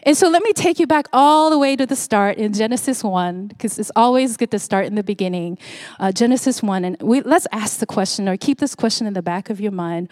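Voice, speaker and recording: A woman's voice: medium pitch, a little mellow, no room sound, studio quality. A woman from Singapore, around her forties, preaching a sermon in a conversational way with frequent fillers and restarts. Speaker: pastor.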